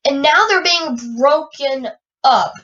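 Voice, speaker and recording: Woman podcast host, high voice, raspy, stuffy from a cold, rambling with fillers, a bit off-mic. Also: slow pace, a bit bright, distant mic, dull audio. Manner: announcer-style opener, then loose rambling chat with fillers. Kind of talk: wrestling news commentary.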